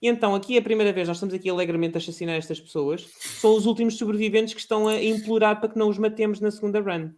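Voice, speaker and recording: A man with a European Portuguese accent, chatting as a podcast guest, passionate and halting with many restarts. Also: high-pitched, fairly even intonation, darkish tone. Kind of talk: casual chat.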